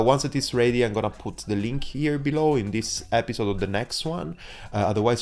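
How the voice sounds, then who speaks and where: A man from southern Italy with an Italian accent, hoarse from a cold, hosting his podcast in a chatty, rambling way full of fillers and restarts. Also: on the low side, darkish tone. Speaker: podcast host. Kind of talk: casual solo podcast intro.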